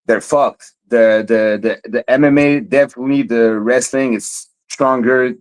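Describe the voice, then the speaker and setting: A man's voice: mid-range voice, steady tone, a little slow. A young man from Montreal, a fighter guest in a casual interview, who stammers and repeats words often. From Montreal, Canada.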